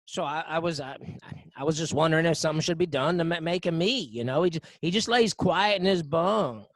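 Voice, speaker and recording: An actor playing a timid, dim, deferential prison guard in a radio drama, stammering and hesitant with folksy speech. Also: male voice, fairly high pitch, a little fast, dry recording, natural voice. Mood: nervous, hesitant. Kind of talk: old-time radio drama acting.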